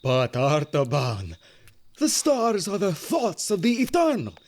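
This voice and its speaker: A proud, skeptical wise man of the ancient East in an audio drama. He declaims and argues, his pitch rising and falling dramatically as he guards his order. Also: wildly swooping pitch, measured pace, darkish tone, full character voice. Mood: indignant. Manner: declamatory, argumentative.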